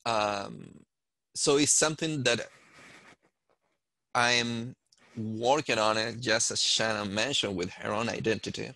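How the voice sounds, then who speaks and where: A man from Chile with a Chilean Spanish accent, speaking thoughtfully on a panel and pausing to find his words. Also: fairly low pitch, fairly even intonation, creaky, sharp tone, dry recording, rough recording. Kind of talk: panel discussion.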